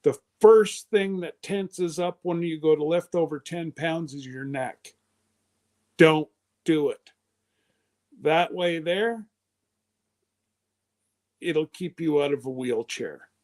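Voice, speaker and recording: A man's voice: on the high side, takes it slowly, pauses often, a little mellow. A man in his fifties hosting a live stream, chatting casually in a loose, rambling way. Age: 50s.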